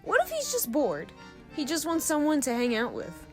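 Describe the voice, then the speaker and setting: Woman doing cheeky young troublemaker, audio drama, highish bouncy voice, glib n playful. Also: wildly swooping pitch, moderate pace, darkish tone, faint music behind. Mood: breezy, speculative. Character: breezy, mischievous young troublemaker full of half-baked theories.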